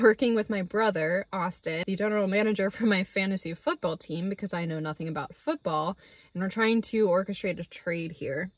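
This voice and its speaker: A woman's voice: medium pitch, no room sound. A young woman in her twenties, casually chatting to a camera while sitting inside her car on a road trip. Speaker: travel vlogger. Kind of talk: casual vlog chat. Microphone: handheld camera on the dashboard.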